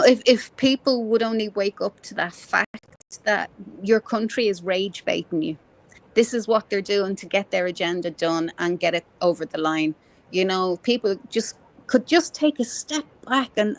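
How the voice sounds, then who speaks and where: A woman's voice: medium pitch, poor audio. A woman in her fifties in a casual political chat, impassioned and earthy, swearing freely as she talks. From Ireland. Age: 50s.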